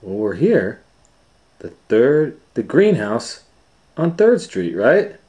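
A young cartoon slacker, played by a man reading a storybook, laid-back yet easily scared. He talks in slangy, hesitant phrases at a raised pitch. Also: very animated, slow pace, darkish tone. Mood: uneasy. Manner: casual slangy hesitant talk.

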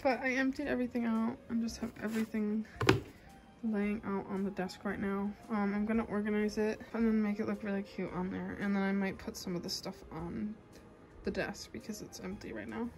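A woman's voice: medium pitch, steady tone, fairly quick, music in the background. A young adult woman chatting casually as a vlogger in a bedroom at home. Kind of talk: casual vlog chat.

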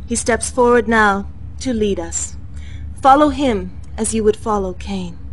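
An actress playing a formal news anchor in a video game cutscene, with a crisp newsreader delivery. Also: female voice, mid-range voice, unhurried, slight background noise, lightly acted, low-quality recording. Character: poised female propaganda news anchor.

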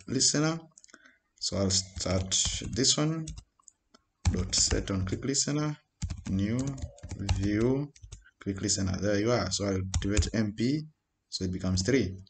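A man giving an informal, halting screen-recorded programming tutorial as he types. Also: lowish voice. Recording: noisy home recording with keyboard clicks. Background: keyboard typing and mouse clicks.

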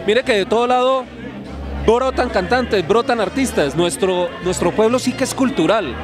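A man's voice: high-pitched, normal speed. A middle-aged man interviewing a singer outdoors, friendly and affirming as he asks questions. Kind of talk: casual interview chat. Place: outdoors at a busy urban gathering. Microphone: handheld mic. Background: crowd chatter and music.

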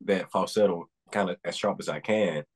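Young guy on a webcam call from home, very deep and a bit husky, talks about himself kinda rambling. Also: normal speed. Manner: earnest, rambling with restarts and fillers. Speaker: guest singer. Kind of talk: casual interview. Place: home room indoors. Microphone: video call webcam.

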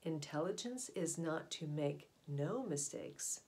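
Lower-voiced middle-aged woman reading aloud. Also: expressive, slow, a little mellow. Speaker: read-aloud storyteller. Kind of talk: reading a children's nonfiction book aloud. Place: small indoor room at home, in front of wooden doors. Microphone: camera or device mic at about arm's length.

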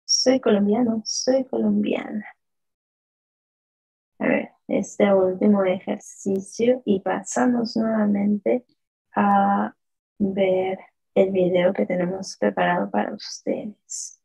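A woman teaching a live online lesson with a gravelly, slightly husky voice. She speaks very slowly, stretching out words in pronunciation drills and asking the chat questions before praising the answers. Her tone is dark and mellow. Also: medium pitch, fairly even intonation, poor audio. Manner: slow, drawn-out pronunciation drills. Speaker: French teacher.

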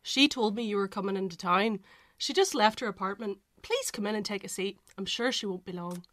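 A woman's voice: mid-range voice, a little fast, fairly bright tone, no echo, close to an everyday voice. An actress playing a bustling, hospitable, doting older mother in a radio drama, chatty and insistent. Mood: welcoming.

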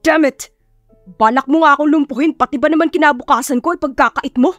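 A woman's voice: high-pitched, quick pace, fairly bright tone, no room sound, pitched above the performer's own voice. A woman voices a grumbling, dramatic Filipino boss man in an audio romance. He teases and complains in a dry recording over faint music. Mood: pained, annoyed. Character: grumpy, teasing adult male boss, complaining dramatically.

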